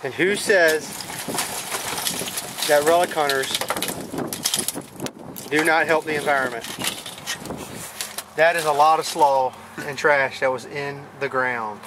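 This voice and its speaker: Higher-voiced man outdoors in heavy noise. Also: slow pace, long pauses. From US South. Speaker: vlog host, relic hunter. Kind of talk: casual handheld vlog chat. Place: open farm field outdoors. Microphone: handheld camera microphone. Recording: noisy windy outdoor camcorder audio.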